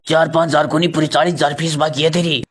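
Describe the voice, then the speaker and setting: A man's voice: fairly high pitch, flat delivery, fast talker, a little hiss or hum, natural voice. A man playing an irritable, strict school principal in a comedy skit, with a Rajasthani accent. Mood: stern. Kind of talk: comedy skit dialogue in dialect.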